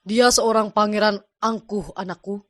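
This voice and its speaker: A woman's voice: medium pitch, fairly even intonation, normal speed, natural voice. A woman voicing a wary village parent in a folk tale cartoon, formal and questioning.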